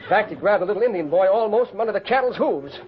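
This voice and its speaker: An actor playing an excitable, folksy Old West rancher with exclamatory cowboy talk in a 1950s radio western. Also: male voice, high voice, very fast. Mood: excited. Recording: muffled, faintly noisy old radio recording.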